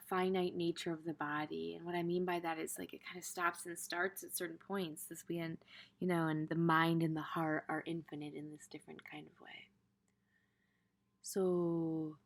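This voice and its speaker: A woman teaching a gentle guided meditation in an informal, tentative way, pausing in the middle of her phrases. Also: deep voice.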